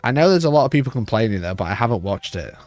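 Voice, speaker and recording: A man with a rough, hoarse voice, chatting casually on a livestream and rambling with frequent restarts. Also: medium pitch, sing-song, rapid-fire, a little mellow, faint music behind. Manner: rambling, restarts mid-sentence. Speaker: livestream host.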